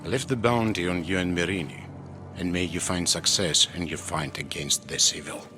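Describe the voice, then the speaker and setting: An actor playing a weary, cautious older Greek ruler in a video game, speaking in a measured, solemn way. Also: male voice, deep voice, music in the background, lightly acted. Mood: guarded, grateful. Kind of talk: video game cutscene acting.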